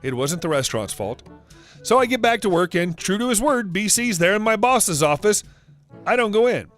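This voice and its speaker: A man giving a scripted narration of online stories as the channel's narrator, reading in an even, steady way. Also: high voice, moderate pace, soft background music. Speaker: story narrator.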